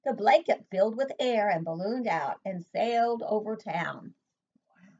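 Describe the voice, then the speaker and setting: An older woman and preschool teacher reading a picture book aloud at home, pausing to ask the children questions. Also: medium pitch, a little hiss or hum. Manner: expressive read-aloud, asks children questions. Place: home room, indoors. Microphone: webcam at desk. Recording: dull lo-fi webcam audio.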